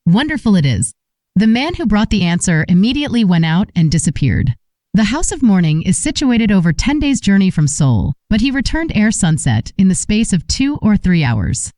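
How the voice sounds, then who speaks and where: A woman's voice: mid-range voice, big pitch swings, normal speed, warm tone, no echo. A woman reading a scripted folk tale as an audiobook narrator.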